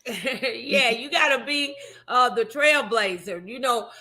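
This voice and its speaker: A woman's voice: a little high, takes it slowly, pushed and bright. A woman hosting a casual morning show, speaking in an emphatic, motivational and folksy, familiar way. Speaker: talk show host.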